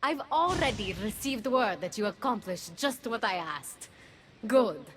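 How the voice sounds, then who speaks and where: A stern goddess acted by a woman gives orders in scripted game dialogue. She is cool and controlling, with a medium-pitched voice over clearly heard music. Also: expressive, unhurried, natural voice. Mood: cool, satisfied. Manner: cool, commanding, admonishing. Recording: music behind the voice.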